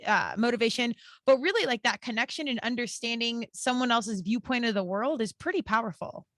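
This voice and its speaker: A woman's voice: on the high side, brisk, fairly bright tone, no room sound, clean studio sound. A woman hosting a casual podcast interview, speaking in an enthusiastic, informal and affirming way. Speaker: podcast host interviewing a guest.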